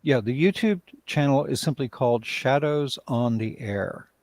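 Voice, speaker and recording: A man talking casually on a livestream video call as a writer and director, telling things in a hesitant, halting way. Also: medium pitch, expressive, darkish tone, no echo. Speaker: writer and director, interview guest.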